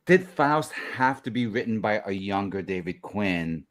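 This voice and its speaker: A man's voice: on the low side, expressive, unhurried. A man co-hosting a podcast, working out his questions aloud and restarting phrases. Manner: searching, restarts phrases while forming questions. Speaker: podcast co-host. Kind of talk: casual podcast interview.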